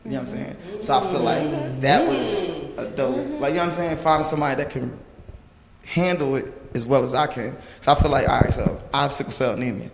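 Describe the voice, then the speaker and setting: A hoarse young man talking loosely on a panel, rambling with lots of fillers. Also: mid-range voice, a little fast. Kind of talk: casual panel discussion. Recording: long reverb, faint noise.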